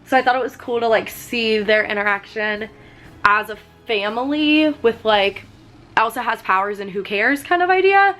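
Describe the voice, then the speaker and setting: A woman in her twenties chatting casually while doing her makeup, full of "like" and "um", her voice slightly hoarse from getting sick. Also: a little high, normal speed, a bit bright, faint music behind. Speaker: vlogger. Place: sitting on a fur rug at home.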